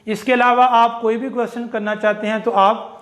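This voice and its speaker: A man teaching an online English phonetics class, slowly repeating each sound and example phrase. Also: very high-pitched, level tone, a bit bright, reverberant.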